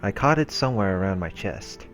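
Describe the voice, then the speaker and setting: A man with a very low voice reads a visual novel aloud for a let's play, slipping between narration and offhand comments. Faint music plays behind him. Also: animated, brisk, fairly bright tone. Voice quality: low-pitched. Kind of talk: read-aloud narration with casual asides. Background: game music.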